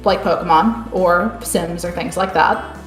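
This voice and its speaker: A woman hosting a live online panel discussion, chatty and relaxed. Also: on the low side, a little slow, echoey room, soft background music, low-quality recording. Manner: relaxed, chatty, many fillers like "end up". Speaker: panel host.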